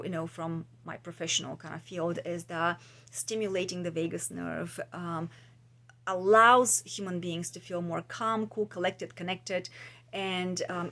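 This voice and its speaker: Woman, musing and hesitant. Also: on the low side. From Bulgaria. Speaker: vlogger and podcast host; trauma practitioner. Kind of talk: casual, reflective talk to viewers.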